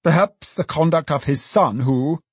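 Male reader as self-important storybook alderman: raised pitch, exclamatory, piously lamenting, dry close recording. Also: normal speed, slightly rough, no room sound. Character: pompous, sanctimonious alderman, excitable and self-important man. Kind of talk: dramatized audiobook character voice.

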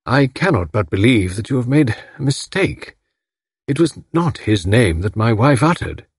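A man voicing a haggard, greying gentleman in an audiobook, stubbornly loyal and indignant, with a formal, pained, emphatic delivery. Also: mid-range voice, big pitch swings, normal speed, breathy edge, no echo, clean studio sound, voice pushed up. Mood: pained, insistent. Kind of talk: audiobook character acting.

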